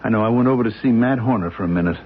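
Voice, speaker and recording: A jumpy husband, lowish voice, raspy, phone-thin old radio. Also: male voice, very fast. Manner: nervous and soothing. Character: anxious husband Paul, fussing over his wife. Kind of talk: 1970s radio drama acting. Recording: tinny lo-fi old radio broadcast.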